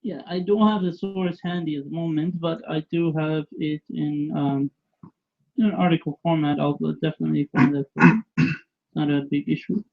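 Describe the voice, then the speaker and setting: A man calling into an online debate, answering in a hesitant, measured way with many fillers. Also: a little high, steady tone, creaky. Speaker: debate caller. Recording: tinny phone-like call line.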